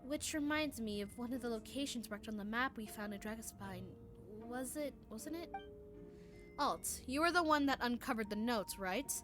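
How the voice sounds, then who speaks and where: A woman's voice: on the high side, lively intonation, moderate pace, music in the background. A woman streamer reading game dialogue aloud during a live playthrough, often stumbling over words.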